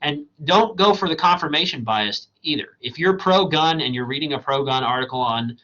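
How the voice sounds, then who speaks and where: A man teaching a college writing class in a recorded slideshow lecture, talking in a loose, conversational way, tagging "right?" onto his points, his voice going hoarse. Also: a little high, moderate pace, bright, forceful tone, muffled recording. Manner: conversational and digressive, asks "right?" often. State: losing his voice.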